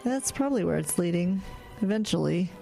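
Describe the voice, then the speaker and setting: An actress playing a brisk suburban mother in her thirties who scolds firmly and jokes dryly. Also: lowish voice, lively intonation, vocal fry, warm tone, faint music behind. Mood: gentle. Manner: firm, scolding, dryly joking. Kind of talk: audio drama acting.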